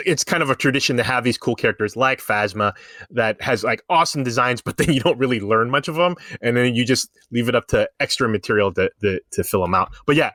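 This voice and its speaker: A man's voice: mid-range voice, a bit bright, no room sound. Man chatting casually, dry close sound. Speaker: podcast co-host. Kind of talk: casual fan discussion.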